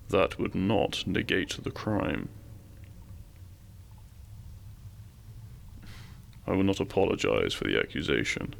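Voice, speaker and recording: A man playing an imperious, possessive dragon king in a romantic fantasy audio roleplay, speaking with formal, commanding courtesy and a gravelly voice. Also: low-pitched, slight background noise, full character voice. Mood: suspicious, imperious. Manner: formal, commanding, deliberate menace with seductive courtesy.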